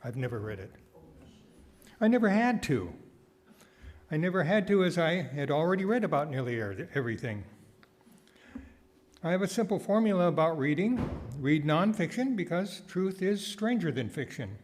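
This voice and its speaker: A man delivering a prepared sermon with wry asides, phrasing deliberately and repeating words for emphasis. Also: on the high side, sing-song, darkish tone. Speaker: guest speaker giving a sermon, nonprofit founder.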